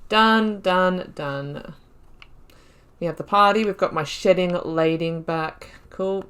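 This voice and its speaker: A young woman hosting a casual let's-play, chatting excitably and talking to herself while she plays. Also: fairly low pitch, slow, clean tone. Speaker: gaming channel host.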